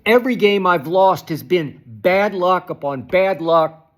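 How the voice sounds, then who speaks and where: A man's voice: sits high, lively intonation, measured pace, a bit bright. A man hosting a sports talk show, ranting with exasperation, often stopping and restarting. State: hoarse, losing his voice. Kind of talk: animated solo sports commentary.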